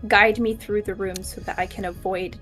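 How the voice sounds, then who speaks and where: A woman's voice: medium pitch, steady tone, normal speed, a bit bright, soft background music. A woman chatting casually as a player in a tabletop game, hesitant and playful in her phrasing.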